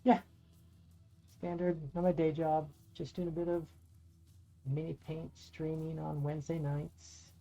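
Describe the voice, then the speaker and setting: Dark-voiced middle-aged man streaming. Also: fairly high pitch, unhurried, long pauses. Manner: relaxed, rambling, swears casually, talks to chat. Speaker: streamer and dungeon master. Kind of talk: casual live-stream chat while painting miniatures. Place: home room by a window. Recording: dull lo-fi audio with faint music.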